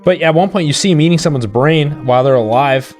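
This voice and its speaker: A man's voice: a little high, fast talker. A man co-hosting a live stream, chatting casually and offering his opinions in a conversational way. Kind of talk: casual chat about horror films. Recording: music playing behind the voice. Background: music.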